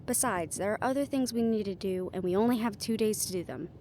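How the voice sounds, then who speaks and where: A woman's voice: mid-range voice, quick pace, darkish tone, a little hiss or hum, natural voice. A woman playing a witty, confident young female adventurer in a playful, conversational audio roleplay. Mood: planning, brisk. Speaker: amateur voice actor. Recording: music behind the voice.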